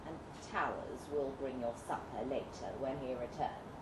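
A woman's voice: rumbling low, normal speed, voice pushed down. A woman voicing a coolly cruel, mocking male villain with formal old-fashioned diction in a melodramatic story reading. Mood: mocking threat. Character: suave, menacing Victorian villain lord, coolly cruel male captor. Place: indoor live literary event. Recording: roomy, noisy live venue recording.

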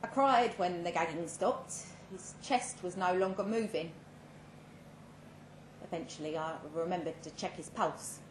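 A woman reading a first-person short story dramatically, measured and with deliberate pauses. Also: on the low side. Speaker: story reader. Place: live reading event in an echoing room. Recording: roomy live venue with faint background noise.

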